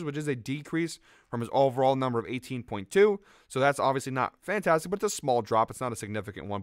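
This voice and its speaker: Fast-talking, slightly raspy betting-picks host. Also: male voice, mid-range voice, a bit bright, no room sound, clean studio sound. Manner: quick, upbeat, rattles off stats with fillers. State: slightly raspy from a late night out. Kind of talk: fast casual betting-tips monologue.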